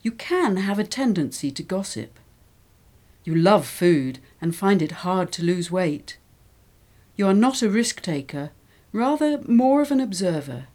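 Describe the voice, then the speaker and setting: Mellow-voiced woman reading lesson texts. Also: medium pitch, very animated, a little slow. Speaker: reader of English learning texts. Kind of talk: scripted reading of model texts.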